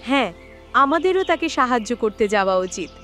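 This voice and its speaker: A woman's voice: a little high, big pitch swings, moderate pace, a bit bright, soft background music. A woman voicing an earnest forest animal in a cartoon, exclaiming and urging. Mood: ashamed, urging. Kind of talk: animated moral story dubbing.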